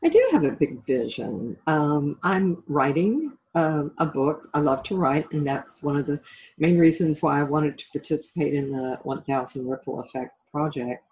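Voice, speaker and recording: A woman's voice: low voice, animated, moderate pace, darkish tone, highs cut off. A middle-aged woman being interviewed as a life coach and author, talking reflectively and conversationally in an encouraging way.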